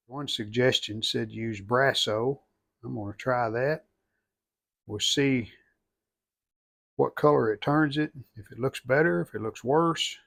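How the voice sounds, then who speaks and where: Man, mid-pitched voice, casual workshop narration, plainspoken, short thinking-aloud phrases. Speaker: restoration video host.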